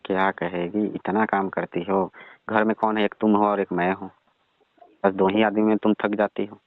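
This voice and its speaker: Demanding old father-in-law played by a man, low, muffled. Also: little pitch movement, normal speed, no room sound, close to an everyday voice. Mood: dismissive. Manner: badgering, dismissive, questioning. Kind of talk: staged phone call skit, conversational. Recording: dull, band-limited phone call recording.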